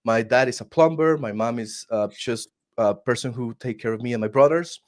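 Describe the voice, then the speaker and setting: A man's voice: mid-range voice, moderate pace. A man from Costa Rica with a Costa Rican Spanish accent, telling his story earnestly as a guest on a video call, often restarting his words. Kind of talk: casual interview storytelling.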